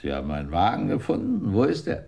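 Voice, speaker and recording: An actor playing a fat, indignant German timber importer with a gruff, defensive manner in a radio play. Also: male voice, fairly low pitch, wildly swooping pitch, fast talker, a little mellow, full character voice. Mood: brusque, suspicious.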